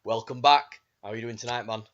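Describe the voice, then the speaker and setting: A man hosting a fight podcast, his voice a little croaky, reading his intro in a formal announcer way. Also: mid-range voice, steady tone, fast talker, dull audio. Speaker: podcast host. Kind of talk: scripted intro and sponsor read.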